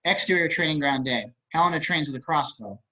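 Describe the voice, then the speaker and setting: A man's voice: on the high side, fairly quick, pushed and bright. A man narrating at a table read, reading out screenplay stage directions over a video call. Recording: slightly dull video-call audio.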